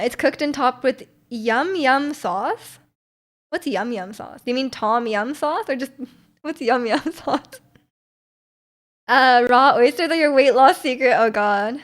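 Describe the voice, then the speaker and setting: A young woman streaming live and chatting casually with her viewers. Her voice sits a little high, and she rambles, restarting sentences and filling gaps with likes and ums. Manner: rambling, many fillers and restarts, frequent laughter. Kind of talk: casual chat reading viewer comments.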